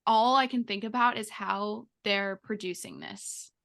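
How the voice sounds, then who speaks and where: A woman's voice: medium pitch, a little slow, gravelly, a bit bright. A woman chatting casually as a podcast co-host, informal and peppering her sentences with "like". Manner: informal, heavy use of "like". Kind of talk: casual chat.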